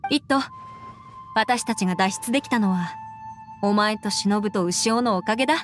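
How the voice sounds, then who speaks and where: A woman's voice: mid-range voice, moderate pace, natural voice. A woman voicing a composed, caring young leader in an anime game, polite but firm and a little formal. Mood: concerned, earnest. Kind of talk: Japanese anime game dub acting. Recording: music under the voice.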